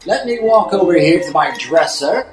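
A man's voice: high-pitched, expressive, moderate pace, echoey room. A man playing a stuffy, oblivious older husband in an improvised radio drama parody, with a mannered delivery. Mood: intrigued.

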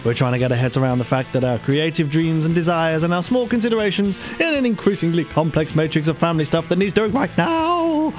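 A man's voice: a little high, lively intonation, fairly quick, hardly any pauses, faint music behind. A man hosting a podcast, chatting loosely with his co-hosts, swearing freely and laughing a lot, a bit tipsy. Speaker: podcast host. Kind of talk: casual banter with co-hosts.

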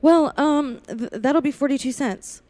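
A woman's voice: sits high, measured pace, a little mellow, dry recording. A woman playing a young, lonely drive-in waitress in a 1940s-style radio play, confiding and wary. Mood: polite, uneasy. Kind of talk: 1940s radio play acting, first-person narration.

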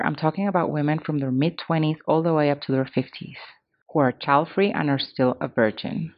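A woman's voice: low-pitched, dull audio. A woman in her thirties hosting a podcast, reading scripted commentary in a candid, conversational way. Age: 30s. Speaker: podcast host.

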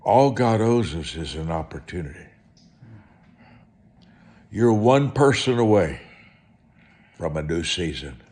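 A man preaching through personal storytelling, speaking in short, deliberate, emphatic phrases and repeating them for effect. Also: deep voice, sing-song, a little mellow. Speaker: preacher and author telling testimony. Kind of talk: storytelling sermon monologue.

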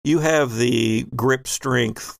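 A man running a tabletop role-playing game as game master, improvising vivid descriptive narration with thoughtful pauses. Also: medium pitch, vocal fry, no room sound, clean studio sound.

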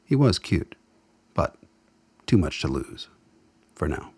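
A man narrating a radio drama as a wry storyteller, with a husky voice and scripted, measured delivery. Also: rumbling low, very animated, a little mellow, no echo, heavily acted. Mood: measured, sly.

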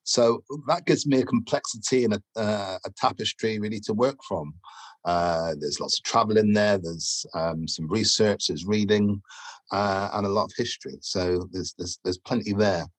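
Very deep-voiced halting man. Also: fairly even intonation, no room sound. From England. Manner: halting, many uh fillers and restarts. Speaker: guest lecturer and entrepreneur. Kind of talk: casual interview answers.

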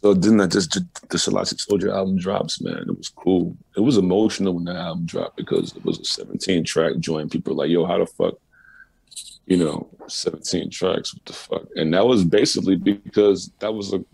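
A man with a very low, gravelly voice and a husky edge tells stories in a loose, profane, animated way. He sounds like a musician guest on a casual talk show, speaking close to the mic. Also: fairly quick. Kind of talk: casual storytelling interview.

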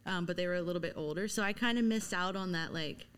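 A woman's voice: lowish voice, fast, fairly bright tone, smooth. A young woman with a mild Southern US accent, from Louisiana, chatting casually and self-deprecatingly as a podcast guest. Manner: laid-back and self-deprecating, with filler likes. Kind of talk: casual chat.

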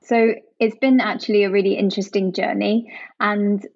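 An upbeat young woman from Jersey talks through her work as a guest, chatty and open, leaning on "actually" and "you know". Her voice sits in a middle pitch. The sound is dry and a little dull. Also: flat delivery, moderate pace, vocal fry, a little mellow, no room sound. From Jersey, Channel Islands. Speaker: product manager, guest. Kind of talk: conversational interview answers.

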